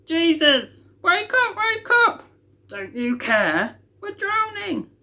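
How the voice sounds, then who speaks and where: A woman's voice: very high-pitched, sing-song, slow, sharp tone, pitched above the performer's own voice, exaggerated voice. A woman voicing agitated storybook crowd members and disciples, exclaiming in an urgent, pleading way. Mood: panicked pleading. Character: jostling, frantic crowd members and frightened disciples.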